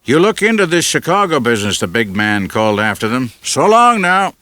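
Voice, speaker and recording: A man's voice: medium pitch, very animated, a little fast, sharp tone, no echo, pitched above the performer's own voice. A man voicing a brusque small-town sheriff in an audiobook, plain-spoken and authoritative. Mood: brisk, official.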